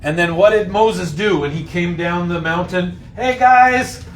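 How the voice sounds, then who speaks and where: A man leading an informal Bible lesson, putting questions to his listeners in a slangy, jokey way and acting out lines loudly. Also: sits high, a little slow, poor audio. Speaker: Bible study host and teacher. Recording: voice over clear background noise.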